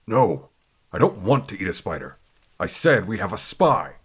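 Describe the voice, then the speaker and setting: A woman playing a grumpy, suspicious male harvest god, husky, curt and sullen, in an audio drama. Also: very deep voice, sing-song, normal speed, full character voice. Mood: wary, alert.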